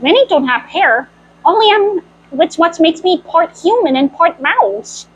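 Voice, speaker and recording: Very high-pitched, playful woman storyteller. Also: lively intonation, measured pace, highs cut off. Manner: childlike playful role-play as a cartoon mouse girl. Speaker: storyteller in costume. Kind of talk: in-character storytime reading and chat.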